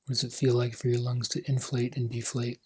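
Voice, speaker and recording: Male meditation coach, husky mid-pitched voice, gentle phrasing with pauses, lines tapering off softly. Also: completely flat delivery. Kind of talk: guided meditation.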